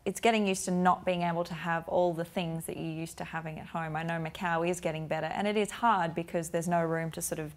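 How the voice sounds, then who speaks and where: A woman hosting a TV talk show, asking gently inquisitive and meandering questions. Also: fairly low pitch, steady tone, fairly quick, few pauses. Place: TV studio.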